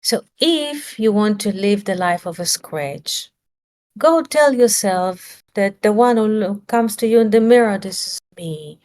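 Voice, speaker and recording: A woman's voice: mid-range voice, lively intonation, unhurried, darkish tone. Woman giving a searching spiritual talk. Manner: searching for words, rhetorical questions. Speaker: spiritual teacher.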